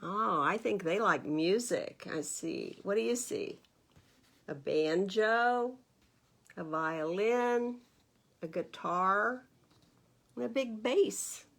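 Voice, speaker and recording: A woman's voice: mid-range voice, takes it slowly, vocal fry, pauses often. Woman reading aloud at children's storytime. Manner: expressive, asks gentle questions of young listeners. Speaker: librarian storytime reader. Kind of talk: children's picture book read-aloud with asides to listeners.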